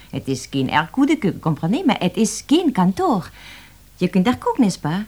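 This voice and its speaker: An actress playing a chatty, unflappable young French cook in a radio play, with a French accent and cheerful talk peppered with French words. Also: female voice, medium pitch, wildly swooping pitch, normal speed, darkish tone. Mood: protesting, chatty.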